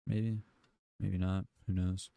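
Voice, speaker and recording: A man on a casual livestream, repeating words aloud as he learns, laid-back and unhurried. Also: deep voice, flat delivery, gravelly, dark tone, no echo.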